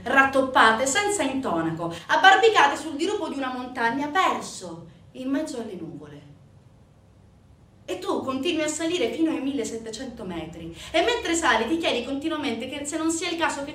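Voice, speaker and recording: A woman performing a dramatic scripted theatre narration, telling the story emphatically with deliberate pauses and repetitions. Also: high-pitched, a bit bright. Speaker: stage actress performing a theatre monologue. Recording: echoey room from a distance, faint noise.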